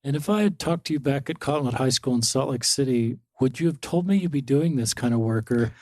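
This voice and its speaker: A man hosts a podcast interview, asking questions in an encouraging way. His voice sits at a mid pitch, and the recording is dry and close. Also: lively intonation, brisk, a little mellow, no room sound. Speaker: podcast host.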